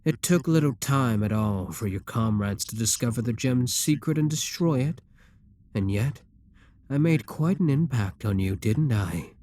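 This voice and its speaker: An arrogant demon king, acted by a man, speaks at a very unhurried pace in a mid-range male voice. He is commanding and seductive, with a theatrical, manipulative delivery suited to a dramatic ASMR roleplay. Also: medium pitch, expressive, darkish tone, lightly acted. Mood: smug, menacing. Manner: deliberate, haughty, theatrical.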